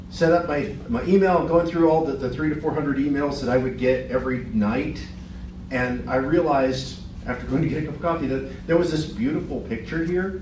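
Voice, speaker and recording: A middle-aged man giving a short business pitch in a meeting room, chatty and self-deprecating, with many restarts. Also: slight background noise. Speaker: business presenter. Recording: echoey room, far from the mic.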